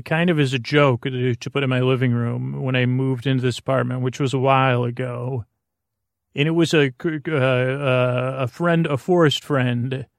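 A middle-aged American man mumbles through a halting, meandering bedtime podcast monologue, trailing off mid-thought in a gravelly voice. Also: mid-range voice, dry recording, clean studio sound. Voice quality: gravelly, creaky. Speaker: podcast host. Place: small closet, very dry sound.

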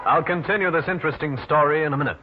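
An actor playing a tough, wry American private detective in a 1940s radio drama, clipped and dry. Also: male voice, fairly high pitch, rapid-fire, loud music behind the voice, lightly acted. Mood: dry narration. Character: tough, cool, wry New York private detective man. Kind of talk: 1940s radio detective drama, first-person narration and dialogue. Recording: muffled, noisy old radio recording.

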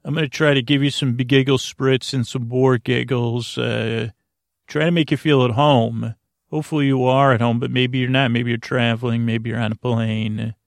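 A man with a creaky voice talks gently and soothingly as a sleep podcast host, meandering, hesitating and repeating half-finished words. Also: mid-range voice, level tone, normal speed, dry recording, clean studio sound. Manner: meandering, hesitant, often repeats and trails off mid-word; soothing, lulling. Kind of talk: rambling bedtime monologue, talking gently to the listener.

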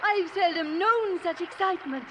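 An actress playing a prim, devout, strong-willed middle-aged spinster with formal, genteel diction in a 1950s radio drama. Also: female voice, extremely high pitch, normal speed. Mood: exhilarated. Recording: noisy 1950s radio recording.

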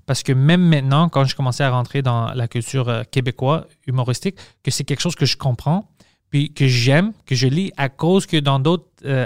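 Podcast host, man, smooth and resonant voice, dry close mic, rambling restarts. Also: mid-range voice, darkish tone, no room sound. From Montreal, Quebec. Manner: loose and chatty, frequent restarts and English slips. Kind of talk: casual conversational chat.

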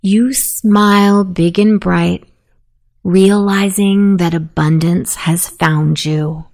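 A woman narrating a guided sleep meditation in a soothing, softly spoken, unhurried manner. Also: on the low side, fairly even intonation.

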